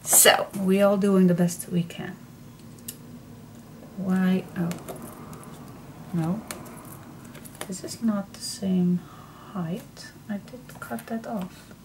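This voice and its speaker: A woman's voice: mid-range voice, steady tone, extremely slow, pauses often. A middle-aged Dutch woman with a Dutch accent, hosting a casual, chatty craft tutorial and often murmuring to herself as she works. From Netherlands. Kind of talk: casual chatty hands-on demonstration. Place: indoor craft table. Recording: clearly noisy room recording.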